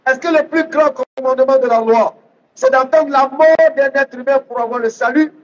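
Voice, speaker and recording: Guy preaching islam, really high voice, teaching hard and repeating lines, muffled lo-fi audio. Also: steady tone, normal speed. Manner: emphatic, didactic, repeats readings phrase by phrase. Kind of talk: public religious debate preaching. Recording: dull, lo-fi sound.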